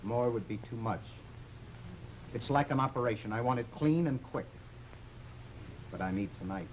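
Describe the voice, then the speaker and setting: Desperate radio drama husband, male actor: earnest, pleading, medium pitch, old slightly noisy recording. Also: normal speed, close to an everyday voice. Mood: anguished. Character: desperate, devoted, downtrodden husband and failing bookseller.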